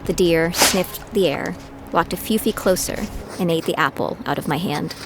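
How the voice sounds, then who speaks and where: A woman's voice: fairly low pitch, normal speed, noisy background, lightly acted. A woman playing a gritty young female survivor who tells her own story as scripted audio drama narration. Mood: reflective, measured. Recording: music bed behind the voice.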